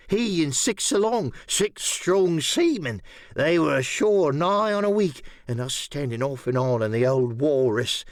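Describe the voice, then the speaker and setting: A man voicing an excitable, half-crazed old male sailor marooned on an island, rambling in old sailor's slang. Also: mid-range voice, no room sound, studio quality. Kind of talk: audiobook character voice.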